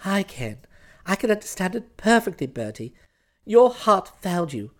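Male reader doing a posh english fiancee, icy n imperious, kept low, storybook. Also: sing-song, normal speed. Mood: cold, severe. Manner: cold, clipped, imperious. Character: haughty, stern young English aristocratic fiancée.

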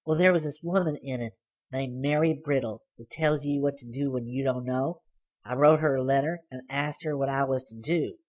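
Sabbath, a cocky, artless teenage girl, as a male narrator puts her on, husky and raised well above his own low register. She talks bluntly, pushing provocative remarks without shame in a storybook reading. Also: moderate pace, close to an everyday voice. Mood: brazen. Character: brash, teasing Southern teenage girl. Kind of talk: audiobook character voice.

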